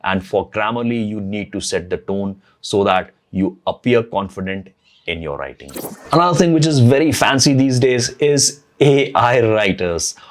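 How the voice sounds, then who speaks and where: Male host, non-native English speaker, low voice, earnest and repetitive delivery. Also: animated, normal speed. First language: not English. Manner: earnest, repeats phrases as he thinks aloud. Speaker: blogging channel host. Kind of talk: casual tutorial talk to camera.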